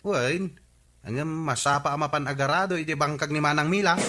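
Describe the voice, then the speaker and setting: A man's voice: fairly high pitch, brisk, close to an everyday voice. An actor playing an ordinary village man with a Filipino accent, chatting conversationally in a radio comedy drama. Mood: matter-of-fact.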